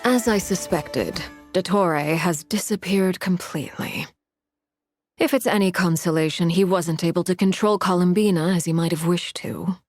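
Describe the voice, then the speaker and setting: A woman's voice: fairly low pitch, normal speed, faint music behind, close to an everyday voice. An actress voicing a composed adult woman with a dry delivery.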